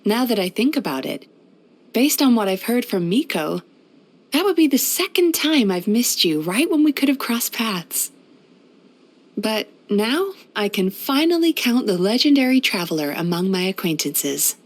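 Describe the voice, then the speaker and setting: A woman voicing a gentle, wistful, centuries-old yokai healer in a video game, speaking softly in a measured, polite, reflective way. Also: medium pitch, big pitch swings. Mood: calm, friendly. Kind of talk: scripted video game character voice-over.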